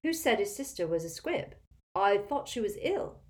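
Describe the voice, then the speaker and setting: A woman's voice: medium pitch, very animated, normal speed, voice pushed up, close to an everyday voice. A woman voicing a puzzled, earnest teenage wizard boy in a storybook, with a questioning manner.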